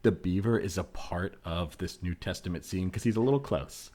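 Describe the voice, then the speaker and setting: Easygoing earnest man played by a male improviser, low voice, rambles and restarts, casual improv comedy dialogue. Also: dark tone. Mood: earnest, musing. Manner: rambling, halting, earnest explaining. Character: easygoing, earnest tattooed man who loves beavers.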